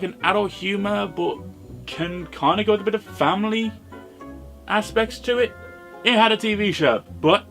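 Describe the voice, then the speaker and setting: British male review host, higher-pitched, very lively intonation, informal, blunt and sardonic. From Britain. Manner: opinionated, sardonic, sweary. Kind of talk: informal scripted video review commentary.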